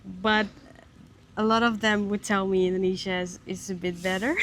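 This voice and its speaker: A young woman from the Netherlands, answering interview questions in a reflective way, laughing often and letting her sentences trail off softly. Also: medium pitch, steady tone, moderate pace, a little hiss or hum. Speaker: interview guest. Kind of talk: casual interview conversation.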